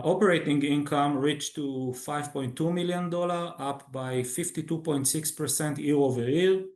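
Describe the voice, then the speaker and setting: A man who speaks English as a second language, formally reading a scripted financial results presentation as a company executive, with halting pauses. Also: medium pitch, darkish tone, roomy sound. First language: not English. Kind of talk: scripted earnings call presentation.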